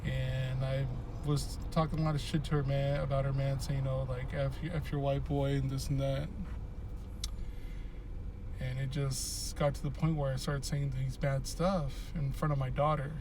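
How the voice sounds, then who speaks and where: A man's voice: medium pitch, level tone, moderate pace. A man casually vlogging outdoors, rambling and restarting his sentences. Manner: rambling, many fillers and restarts. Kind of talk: casual personal vlog monologue. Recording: distant mic, loud outdoor noise.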